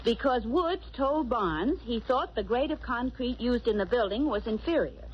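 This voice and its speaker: An actress playing a brisk, wry, loyal detective's assistant, in 1940s radio drama style. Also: female voice, on the high side, lively intonation, lightly acted. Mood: deliberate, triumphant. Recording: noisy, muffled old radio recording.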